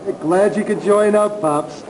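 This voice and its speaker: An actor playing a cocky, money-loving young hustler in a video game cutscene, recorded with hollow reverb. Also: male voice, sits high, unhurried, a little mellow. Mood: smug. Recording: long hollow reverb, faint noise.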